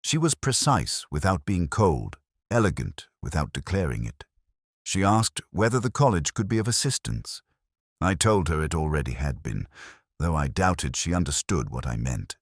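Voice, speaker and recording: A man acts out a brooding police inspector with an extremely deep voice that rises and falls expressively. He narrates in deliberate, literary phrases, weary and watchful, as in a detective radio play. The sound is dry, with no room around it. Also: big pitch swings, breathy edge, no echo, heavily acted. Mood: brooding, contemplative. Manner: measured, contemplative, deliberate phrasing.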